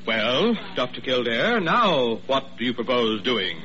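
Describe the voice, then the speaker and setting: An actor playing a pompous, flustered hospital administrator who blusters and stammers in old radio drama style. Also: male voice, mid-range voice, big pitch swings, measured pace, pushed and bright. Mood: indignant. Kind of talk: 1940s radio comedy-drama acting. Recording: muffled, noisy old radio recording.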